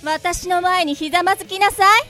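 A woman's voice: very high voice, fairly even intonation, fairly quick, bright, forceful tone, soft background music. A woman playing a haughty, ladylike, would-be seductive female monster in an amateur audio drama. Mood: imperious. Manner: ladylike, imperious.

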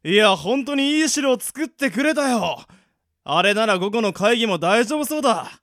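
An actor playing an appreciative office colleague in a radio drama. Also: male voice, very high voice, animated, normal speed, fairly bright tone, no echo, studio quality. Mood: pleased, easygoing. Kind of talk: Japanese radio drama acting.

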